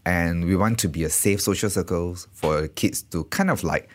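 Man, from Malaysia, very deep voice, founder being interviewed, halting, repeats words. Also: slight background noise. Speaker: startup founder. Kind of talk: interview answers.

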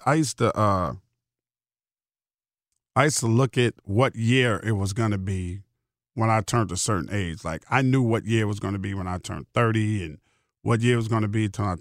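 A man's voice: mid-range voice, dry recording, clean studio sound. A middle-aged American man hosting a solo podcast, talking casually in a rambling, emphatic way with repeated phrases and salty slang. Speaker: podcast host.

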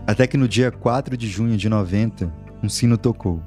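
A man co-narrating a true-crime podcast, calmly explaining case details. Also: fairly low pitch, normal speed, music in the background. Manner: calm explanatory storytelling.

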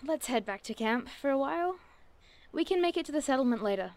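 A woman's voice: high-pitched, lively intonation, fairly quick, a little mellow. A woman playing a curious, bookish cryptid investigator in an audio drama, earnest and wordy with dry playfulness. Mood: calm, wry. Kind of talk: audio drama acting, naturalistic dialogue.

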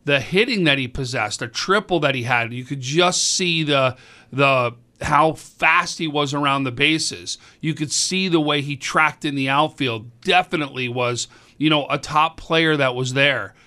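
Booming, bright-voiced man. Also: a little slow. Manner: excited, rambling, repeats words. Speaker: high school sports commentator and event organizer. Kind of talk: casual radio sports talk.

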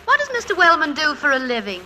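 Poised teasing wife, ex stage actress, bright voice, old radio show with faint hiss. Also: female voice, high-pitched, huge pitch swings, fast talker, heavily acted. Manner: playful, theatrical, refined. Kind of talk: 1940s radio comedy-drama acting. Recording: noisy 1940s radio recording.

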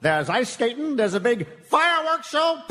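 A man's voice: extremely high pitch, bright, forceful tone, full character voice. A man playing a folksy, easygoing small-town cafe owner and dad, musing his way through a live comic radio play. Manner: folksy, musing, drawn-out hmms. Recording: reverberant live hall.

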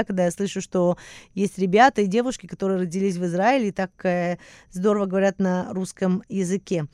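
A woman's voice: mid-range voice, darkish tone, clear, resonant tone, dry recording, clean studio sound. A woman hosting a podcast in a conversational interview style. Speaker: podcast host.

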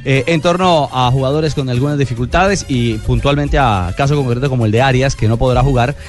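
A man's voice: mid-range voice, fairly quick, soft background music. A man with a Colombian accent hosting a sports radio show, chatting with the panel and making announcements. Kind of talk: lively panel chat and announcements.

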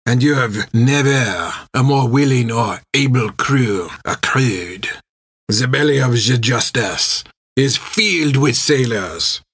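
A man voicing a suave, boastful, sinister Frenchman, speaking formally and deliberately in an audiobook adventure. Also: mid-range voice, animated, fairly bright tone, breathy edge, heavily acted. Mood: smug, persuasive.

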